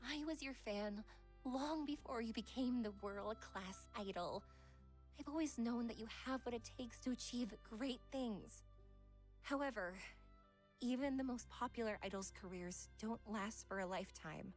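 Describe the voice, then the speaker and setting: A woman's voice: fairly high pitch, unhurried, faint music behind, close to an everyday voice. A woman voicing a refined, affectionate young psychologist in a visual novel game, with genteel, careful phrasing. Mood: troubled, earnest.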